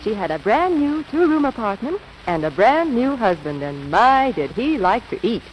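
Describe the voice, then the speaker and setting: A woman's voice: on the high side, very animated, normal speed. A woman playing a chatty storyteller in a 1940s radio advertisement, gushing like she is telling a bedtime story. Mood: gushing, confiding. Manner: gushing, theatrical storybook delivery. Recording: noisy old radio broadcast recording.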